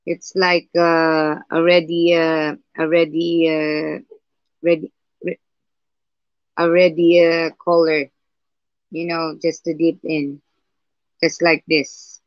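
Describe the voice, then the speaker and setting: A woman with a husky voice hosting a live painting tutorial, talking slowly and hesitantly with many fillers and restarts. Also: low voice, flat delivery, no room sound. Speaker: art livestream host. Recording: dull lo-fi livestream audio.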